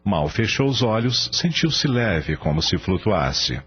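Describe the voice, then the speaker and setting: A man narrating a radio drama in a measured, expressive storytelling style. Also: on the low side. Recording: dull lo-fi audio with music behind.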